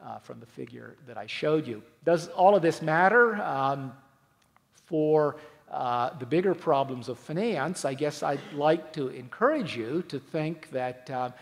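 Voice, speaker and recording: A man giving a formal academic lecture, speaking in a measured, careful, hedging manner. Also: fairly high pitch, lively intonation, creaky. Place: large hall, public lecture. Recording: reverberant lecture hall.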